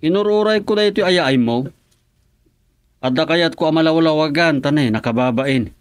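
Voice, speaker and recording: A man's voice: a little high, moderate pace, smooth, no room sound. A man with an Ilocano accent playing a village chairman in a comedy radio drama, stern and scolding.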